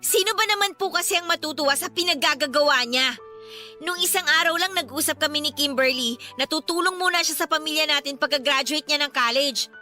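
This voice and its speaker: High-voiced fiery Filipino daughter. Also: female voice, fairly quick, bright, forceful tone. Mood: indignant, frustrated. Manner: emphatic, respectful but blunt. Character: outspoken, fiery grown daughter of a poor family. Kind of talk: Filipino radio drama acting. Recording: studio drama with music behind.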